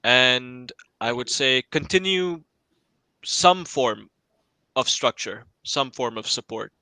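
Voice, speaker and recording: A man's voice: mid-range voice, lively intonation, slow, sharp tone, smooth, no echo. A young man giving his opinions politely and unscripted as a student panelist on a podcast.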